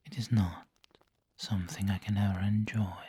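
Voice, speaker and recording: A man playing a refined, doting vampire lord in an ASMR roleplay, whispering gently and intimately with seductive, protective care. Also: lowish voice, level tone, no echo. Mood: tender, coaxing. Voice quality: breathy, whispery. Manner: slow, gentle, intimate coaxing.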